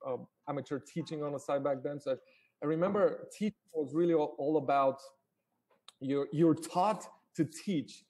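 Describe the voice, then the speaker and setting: A man's voice: a little high, normal speed, darkish tone, husky. A man in his forties, originally from Romania, talking casually as a startup founder guest on a live call, with many fillers and restarts.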